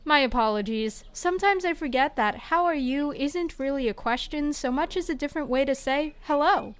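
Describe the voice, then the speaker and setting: A woman's voice: sits high, moderate pace, natural voice. A woman playing an odd, politely formal laboratory spokeswoman in an audio drama, serenely literal and precise. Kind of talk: scripted audio fiction acting.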